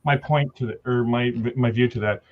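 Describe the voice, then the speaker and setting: A man talking earnestly in a casual online chat, sharing his views with halting restarts. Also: medium pitch, fairly even intonation, highs cut off.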